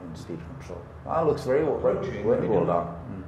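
A middle-aged man calmly coaching a pilot step by step in a flight simulator, encouraging and full of pauses and fillers. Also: lowish voice, muffled recording. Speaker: flight instructor. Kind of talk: patient step-by-step instruction. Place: indoor flight simulator dome. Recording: roomy with background hum.

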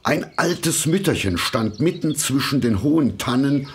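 A man narrating a fairy tale from a script in a measured, expressive storytelling manner. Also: medium pitch, slight background noise.

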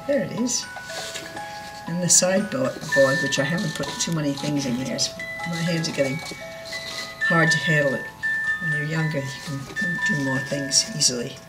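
A woman's voice: low-pitched, low-quality recording. An older woman chatting casually and unscripted as she gives a home tour, meandering and correcting herself as she goes. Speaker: dollhouse owner giving a tour. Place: indoors at home, filming a dollhouse.

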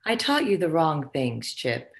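A woman's voice: sits low, very animated, unhurried, gravelly, heavily acted. A woman playing the imperious, condescending ghost of a socialite boss in a theatrical comic table read over video call. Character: haughty, foul-mouthed ghost of a dead socialite boss. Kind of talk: virtual table read, comic stage acting.

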